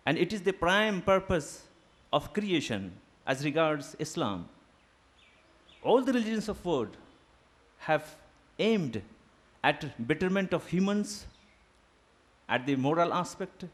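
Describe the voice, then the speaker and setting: A fairly high-pitched man speaks English as an Urdu native, lecturing to a seminar. He talks in a deliberate, academic way and pauses with fillers as he builds his points. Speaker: guest lecturer. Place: seminar hall.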